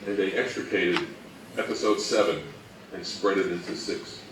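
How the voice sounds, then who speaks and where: A man giving an informal, halting talk on history as a co-presenter, thinking aloud as he goes. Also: sits low, fairly even intonation. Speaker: co-presenter at a fan convention talk. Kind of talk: informal lecture on old radio history. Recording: roomy, distant mic with faint noise.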